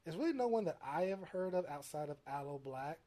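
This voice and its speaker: A soft-spoken man being interviewed as a music producer, talking casually with many fillers and restarts. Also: on the high side, animated, brisk.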